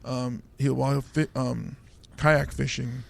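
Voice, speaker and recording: A man's voice: medium pitch, level tone, slow pace, gravelly. A man from Samoa hosting a casual comedy podcast, riffing on the news and laughing at his own bits. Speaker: host, stand-up comedian.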